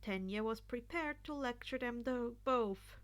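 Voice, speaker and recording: A woman's voice: on the high side, a little slow. A woman narrating fan fiction in a story-reading style. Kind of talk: story reading with character voices.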